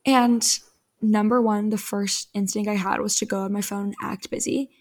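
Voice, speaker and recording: A young woman hosting a solo podcast, giving earnest, chatty advice while her voice fades a little and sounds slightly hoarse. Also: medium pitch, fairly even intonation, moderate pace, a little mellow. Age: young adult. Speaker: podcast host.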